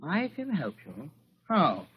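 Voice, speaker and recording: An actor playing a haughty, evasive young lawyer with a pompous, fussy manner in 1940s radio style. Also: male voice, a little high, wildly swooping pitch, slow pace, husky, exaggerated voice. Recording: muffled old radio broadcast.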